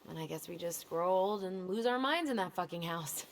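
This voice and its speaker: An actress playing a loyal, exasperated young American sister in naturalistic video game dialogue. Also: female voice, lowish voice, expressive, normal speed, a little hiss or hum. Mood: matter-of-fact. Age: young adult.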